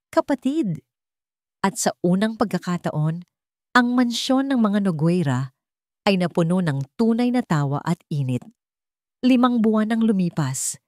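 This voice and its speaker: A woman's voice: fairly low pitch, a little mellow, dry recording, clean studio sound. A woman narrator reading a scripted, dramatic love story.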